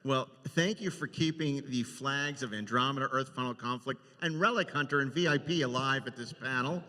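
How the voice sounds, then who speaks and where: A man's voice: medium pitch, a little fast, sharp tone. A man moderating an informal live panel in a reverberant hall. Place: large indoor panel room.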